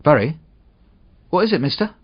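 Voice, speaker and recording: A man's voice: a little high, sing-song, moderate pace, husky, pitched above the performer's own voice. A man voicing an innocent, lonely young boy in an audiobook story. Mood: innocent, curious. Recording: dull, muffled audio.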